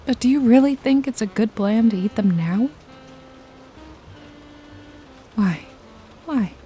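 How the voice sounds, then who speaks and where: A woman's voice: medium pitch, mellow, close to an everyday voice. A woman playing a wry, jaded detective and hired killer in a noir ASMR roleplay, nonchalant and teasing with a casually menacing edge. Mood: exasperated, teasing. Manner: sardonic, foul-mouthed, nonchalant, teasing. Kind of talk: noir ASMR roleplay, intimate one-sided dialogue to listener. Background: jazzy music and rain effects.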